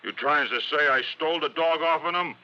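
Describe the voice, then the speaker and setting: An actor playing a dim, belligerent working-class American crook in a golden age radio drama, slangy and ungrammatical. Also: male voice, on the high side, fairly quick, bright, forceful tone. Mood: blustering, irritated. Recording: dull old radio recording.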